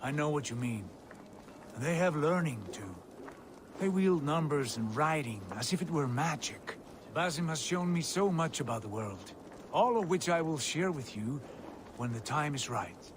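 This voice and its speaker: A man's voice: on the high side, expressive, unhurried, noisy background. An actor playing a proud, worldly Viking warrior back from his travels, talking like a storyteller in a video game cutscene. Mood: warm, confiding.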